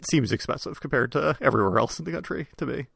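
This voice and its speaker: A man's voice: medium pitch, animated, fast, creaky, a bit bright, husky, dry recording, studio quality. A man co-hosting a podcast, chatting offhandedly with lots of fillers. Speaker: podcast co-host. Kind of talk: casual chat.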